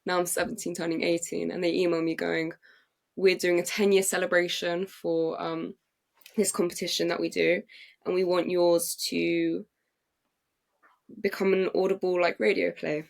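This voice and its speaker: Young English woman with a gravelly low voice. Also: moderate pace. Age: young adult. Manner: excited, many fillers and restarts. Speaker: playwright and actress guest. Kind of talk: casual interview storytelling. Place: podcast recording.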